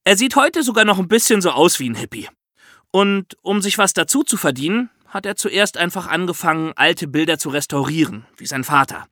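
A man co-hosting a podcast in a lively, conversational style, telling things in a chatty, colloquial way. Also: high voice, a little fast, no echo, studio quality. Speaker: podcast co-host.

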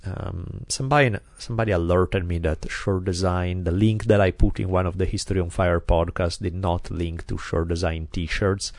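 Low-voiced Italian-accented podcast host. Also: male voice, fairly even intonation, normal speed, vocal fry, hardly any pauses, a little mellow, no room sound, studio quality. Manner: chatty, rambling with many fillers. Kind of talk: casual podcast chat. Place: quiet recording studio.

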